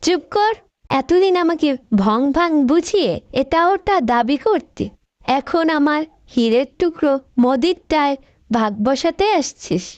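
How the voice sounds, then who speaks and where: A woman acts out a deposed lady leader from a comic cartoon, high in pitch and imperious. She scolds and mocks theatrically in colloquial speech. The sound is close, dry and slightly dull. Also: animated, measured pace, a little mellow, dry recording. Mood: scolding, disgusted.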